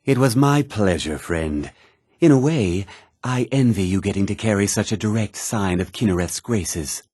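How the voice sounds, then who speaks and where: A devout fantasy-game pilgrim, earnest and easily scandalised. The voice is lowish and a little husky, with highly animated, theatrical phrasing. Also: male voice, moderate pace, no room sound, studio quality. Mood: reverent, earnest. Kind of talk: video game character dialogue.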